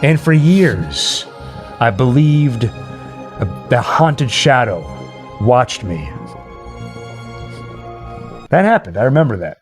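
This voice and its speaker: Animated male livestream host, lively pitch. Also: slow, long pauses, soft background music. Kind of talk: casual storytelling and chat to livestream viewers.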